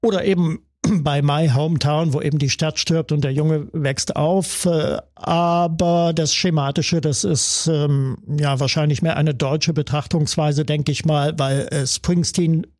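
Thoughtful man, slightly high, dry mic. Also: fairly even intonation, measured pace, few pauses, darkish tone, no room sound. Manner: thoughtful, hesitant, many fillers. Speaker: music commentator. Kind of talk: conversational discussion.

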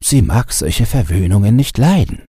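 A man plays a brusque storybook morning wind who loves to tease. The voice is very husky and swoops up and down in slow, mocking phrases. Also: lowish voice, sing-song, mellow, no room sound, studio quality, pitched above the performer's own voice, heavily acted. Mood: teasing. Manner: mocking, drawn-out.

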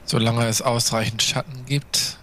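A man's voice: medium pitch, steady tone, measured pace, a bit bright, slight background noise, lightly acted. A man playing a terse, loyal, distrustful vampire in a role-play audio drama, speaking in brief, formal statements. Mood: grave.

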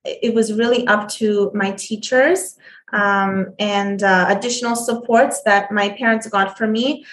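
A woman guest telling her story in an interview, speaking haltingly with many fillers and restarts. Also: mid-range voice, fairly even intonation, roomy sound, slight background noise. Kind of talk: conversational interview.

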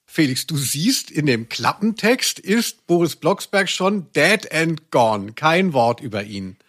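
Higher-pitched man hosting a podcast. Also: very animated, takes it slowly, fairly bright tone. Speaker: podcast host. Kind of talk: humorous podcast chat.

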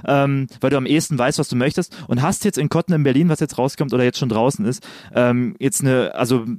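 Fast, rambling male interviewer. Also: medium pitch, darkish tone, dry recording, clean studio sound. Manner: long winding questions with many "ähm" and "letztendlich". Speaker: podcast interviewer. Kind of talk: interview questions.

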